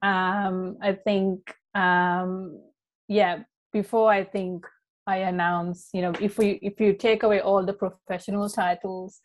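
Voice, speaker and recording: A woman's voice: medium pitch, level tone, slow pace. A young woman from Sri Lanka, a life coach, talking in a casual interview with an earnest, heartfelt manner and plenty of ums and you-knows. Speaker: podcast guest, life coach.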